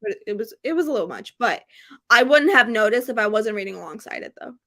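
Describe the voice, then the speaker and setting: A woman with a high, bright voice talks casually as the host of a book chat. She is gushing and emphatic, often repeating a phrase to drive it home. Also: lively intonation, fast. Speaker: host of a book readalong live stream.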